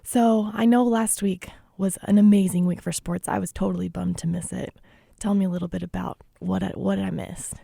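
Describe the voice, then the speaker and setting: A woman co-hosting a sports radio show, her voice hoarse and gravelly after losing it, chatting casually and pushing for predictions. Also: mid-range voice, steady tone, normal speed, warm tone, no room sound, studio quality. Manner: chatty, eager to debate picks. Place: radio studio.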